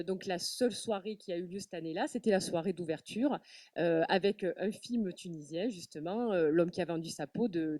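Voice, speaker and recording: A woman on a panel, speaking animatedly but halting often with fillers and restarts. Her voice is mellow and soft-edged, and it was recorded dry from a mic set back from her. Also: fairly low pitch, no echo, far from the mic. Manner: many fillers and repeated words, animated. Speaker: festival representative on a panel. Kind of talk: panel talk.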